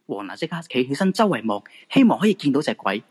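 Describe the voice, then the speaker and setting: A man's voice: mid-range voice, very animated, fast, darkish tone, husky. A man with a Hong Kong Cantonese accent narrating a radio drama in scripted, dramatic storytelling style.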